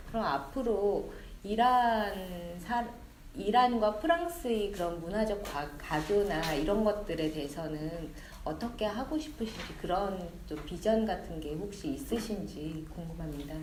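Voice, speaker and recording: A woman asks a question from the audience, speaking quickly but halting and restarting mid-thought. The hall around her is roomy and echoey, with a faint noise floor. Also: lowish voice, a little mellow. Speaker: audience member asking a question. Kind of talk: asking a question at a book talk. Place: library event hall.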